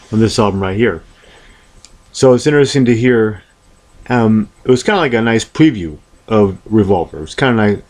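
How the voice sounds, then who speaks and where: A man with a fairly low voice talks through items from a collection in an unscripted review. He is deliberate and halting, often going back over a word or phrase before carrying on. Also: darkish tone. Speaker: music collector presenting albums. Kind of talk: unscripted show-and-tell review.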